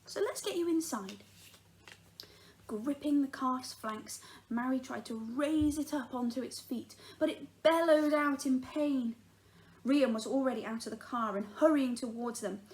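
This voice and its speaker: High-pitched woman reading a children's story. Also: a little slow, poor audio. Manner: animated, acts out the dialogue. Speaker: teacher reading a children's book aloud. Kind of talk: expressive storytime narration.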